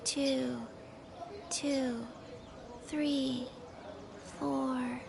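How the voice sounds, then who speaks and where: A woman giving a measured, instructional breathing count. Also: high voice, level tone, creaky, a crowd in the background. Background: noise.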